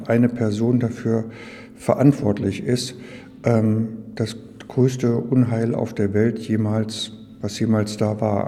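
A man's voice: lowish voice, mellow. A man giving an informal explanation as an exhibition guide. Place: large echoing indoor exhibition room. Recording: long hall reverb, muted tone.

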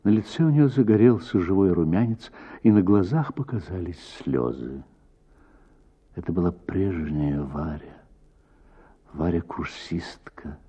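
A man giving an expressive literary reading of a short story for radio, as an actor would. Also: deep voice, a little slow, breathy edge, lo-fi sound.

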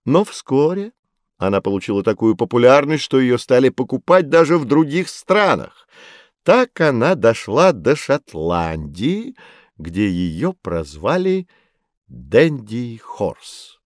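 A man playing a patient, knowledgeable teacher who explains with expressive storytelling in a children's educational audio drama. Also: mid-range voice, takes it slowly, dry recording, clean studio sound. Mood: patient, explaining.

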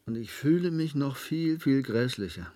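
A man's voice: medium pitch, animated, moderate pace, warm tone, no echo, close to an everyday voice. An actor playing an overworked, flippant male programmer in a German radio play, with a wry, casual manner. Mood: weary, amused.

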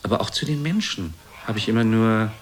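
Guy acting a plaintive self-pitying womaniser son, lowish voice, radio play, noisy background with birds. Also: animated, fairly quick, close to an everyday voice. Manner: pleading, plaintive, self-justifying.

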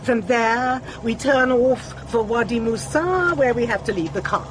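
A woman's voice: fairly high pitch, noisy background, close to an everyday voice. An actress playing a bossy, self-important middle-aged lady politician with an upper-class English accent in a radio drama. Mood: bossy, authoritative. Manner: pompous, brisk, commanding.

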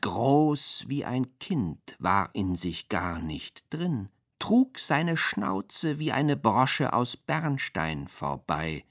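A man who recites dreamlike radio-drama poetry in a low voice. He is very unhurried and deliberate, setting words apart in fragments, and the sound is close and dry. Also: dry recording, exaggerated voice. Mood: slow, hushed.

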